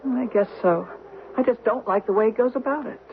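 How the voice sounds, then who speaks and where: Woman playing intuitive young wife, skeptical, slightly high swooping voice, faintly noisy old radio drama recording. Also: fairly quick, narrow, tinny audio, lightly acted. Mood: uneasy, doubting.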